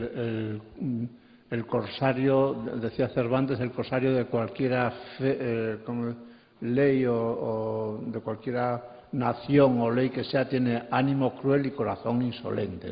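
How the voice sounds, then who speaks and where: Slow gravelly guy musing on a panel, halting, roomy muffled hall sound. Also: medium pitch. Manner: halting, pausing between phrases. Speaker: panel speaker. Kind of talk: reflective, erudite commentary. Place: stage of a large auditorium. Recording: muffled, echoing auditorium sound.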